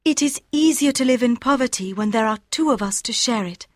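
A woman voicing a tender, emotional gentlewoman in love, earnest and pleading, in an audiobook. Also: a little high, animated, fairly quick, no echo, studio quality.

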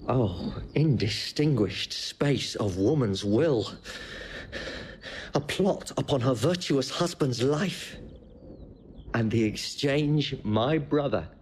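An actor playing a gentle, loyal young nobleman disguised as a poor man, earnest and measured, in a Shakespearean audio drama. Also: mid-range voice, lively intonation, slight background noise. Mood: shocked.

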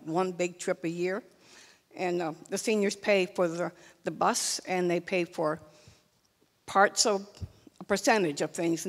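An older woman speaking off the cuff at a town meeting, hesitant, with many fillers, into a handheld mic. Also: fairly low pitch. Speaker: senior group representative. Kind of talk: unscripted remarks at a public meeting. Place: large meeting hall. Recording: long hall reverb.

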